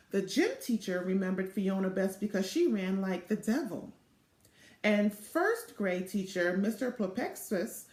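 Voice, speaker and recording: A middle-aged woman reading a children's storybook aloud in an expressive, deliberate way. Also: medium pitch. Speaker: literacy program co-chair reading aloud. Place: small indoor office or home room. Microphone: device mic at arm's length. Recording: echoey room sound.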